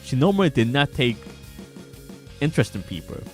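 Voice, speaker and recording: A man's voice: mid-range voice, very animated, normal speed. A man streaming, reading narration from their own visual novel aloud with casual asides. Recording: music bed behind voice. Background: guitar music.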